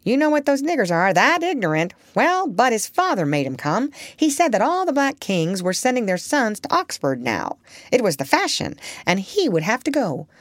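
A woman voicing an elderly female parrot who chatters on with excitable gossip. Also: a little high, moderate pace, no room sound, studio quality, voice pushed up. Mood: chatty. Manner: excitable, talkative, breathless gossip.

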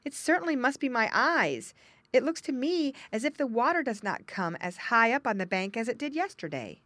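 A woman's voice: a little high, very animated, normal speed, a bit bright, dry recording, pitched above the performer's own voice. A woman voicing a puzzled male muskrat in a storybook, earnest and a little fretful.

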